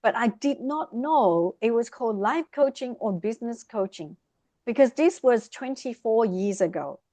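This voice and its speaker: Podcast guest: middle-aged woman, expressive storyteller, dry close sound. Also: a little high, moderate pace, no room sound. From Vietnam. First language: Vietnamese. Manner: lively, expressive, speeds up in bursts. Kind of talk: casual interview storytelling.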